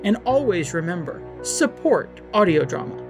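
An announcer delivers scripted podcast credits in a medium pitch. Music plays clearly behind the voice. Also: big pitch swings, moderate pace.

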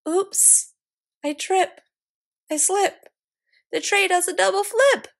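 A woman voicing an excitable little girl who adores fancy things, in a dramatic storybook reading. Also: extremely high pitch, slow pace, gravelly, voice pushed up, heavily acted. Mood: excited. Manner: dramatic, exclaiming, storybook delivery.

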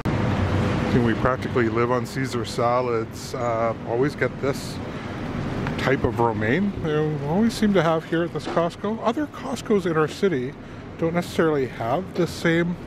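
A man's voice: mid-range voice, expressive, moderate pace. A middle-aged man, chatting casually as a vlogger on a handheld camera. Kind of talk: casual shopping vlog chat. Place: large indoor warehouse store. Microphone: handheld camera microphone. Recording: loud warehouse store ambient noise. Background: loud store ambience.

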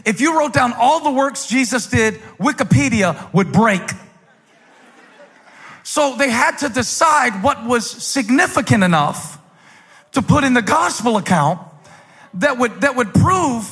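A man in his forties preaching a sermon on a church stage into a handheld mic, telling a story and then building to loud, fervent emphasis. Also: very high voice, expressive, unhurried, breathy edge. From United States. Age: 40s. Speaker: pastor. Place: large stage in a church hall. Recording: long hall reverb.

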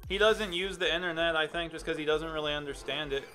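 A young man talks casually over his game stream, seated at his desk in a room, his voice bright and forceful and set slightly high. He sounds a little phlegmy as he chats and reads chat aloud. Faint music plays behind him. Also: fast, low-quality recording. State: phlegmy throat. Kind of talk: casual gameplay commentary. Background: music playing.

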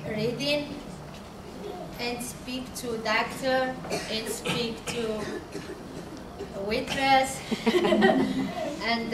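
Slow, halting woman with a Moroccan accent. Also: fairly high pitch. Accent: Moroccan Arabic. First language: Arabic. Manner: simple halting English, restarts. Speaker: adult literacy student. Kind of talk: halting prepared remarks. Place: community room, at a podium. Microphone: podium mic, far camera. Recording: echoey room from far off, background noise.

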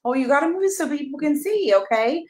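A woman in her thirties reading a picture book aloud to a toddler, expressive and encouraging, coaxing the child along with questions. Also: high-pitched, brisk, echoey room, a little hiss or hum. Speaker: pastor reading a children's story. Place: small carpeted room at home, indoors. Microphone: camera a step or two away.

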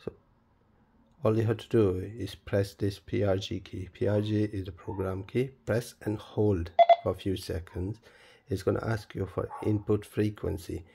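A man presenting a step-by-step tutorial in a plain, halting, instructional manner. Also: lowish voice, level tone, vocal fry, very noisy. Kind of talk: step-by-step spoken tutorial, unscripted.

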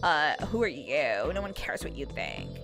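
A woman's voice: medium pitch, lively intonation, moderate pace, over music, lightly acted. A woman voicing a rude, bored young female cashier in a video game roleplay, dismissive and sarcastic. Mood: scornful.

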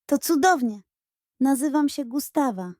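A woman voicing a dramatic, easily indignant female giraffe in a children's audio story, with theatrical, expressive delivery. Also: high voice, a little slow, no echo, clean studio sound, voice pushed up. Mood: hopeful.

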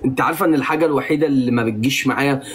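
A man's voice: mid-range voice, very fast, fairly bright tone, husky. A man with an Egyptian Arabic accent hosting a live stream, teasing and bantering with a guest in a playful, mock-stern way.

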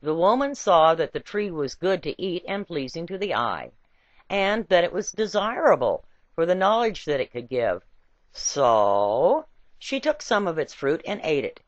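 A woman reading a scripted essay with quotations in a deliberate, emphatic and wry storytelling way. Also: fairly low pitch, very animated, no room sound, muffled recording. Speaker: reader.

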